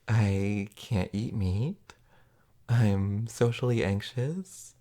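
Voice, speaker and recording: A woman voicing a tender, reassuring partner in a comfort roleplay, speaking softly and breathily with gentle pauses, often near a whisper. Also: rumbling low, full character voice. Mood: gentle, understanding. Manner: gentle, soothing, unhurried, with pauses. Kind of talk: soft-spoken comfort roleplay audio, ASMR.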